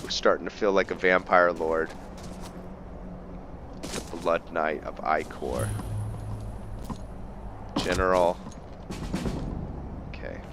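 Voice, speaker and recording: A man's voice: deep voice, takes it slowly, long pauses, music in the background. A man doing casual let's play commentary, thinking aloud to his viewers and slipping into roleplay. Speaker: gaming video host.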